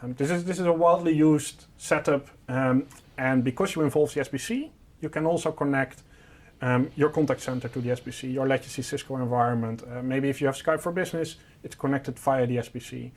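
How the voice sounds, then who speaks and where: A man's voice: medium pitch, a little fast. A man presenting a product, explaining a technical solution in an informal, step-by-step and simplified way.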